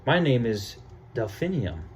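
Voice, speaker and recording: Man acting out storybook lady teacher, kind, poised, calm, pointed, varied pitch, faint noise. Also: a little slow. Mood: kindly poised.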